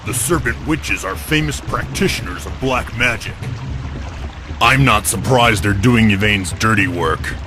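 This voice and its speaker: A man's voice: lowish voice, unhurried, over music, natural voice. A man playing a grim, weary warrior in a video game cutscene, sombre and fatalistic. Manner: sombre, unsurprised.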